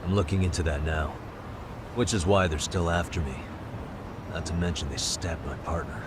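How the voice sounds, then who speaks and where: An actor playing a wry, level-headed detective in a video game dub, speaking in a dry, conversational way. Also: male voice, deep voice, steady tone, normal speed, background noise, lightly acted. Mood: earnest. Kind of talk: English-dub video game cutscene acting. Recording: music behind the voice.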